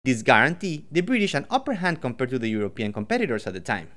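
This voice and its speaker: A man's voice: medium pitch, very animated, quick pace, fairly bright tone. A man from Ecuador co-hosting a scripted history podcast, reading facts with joking asides. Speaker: podcast co-host.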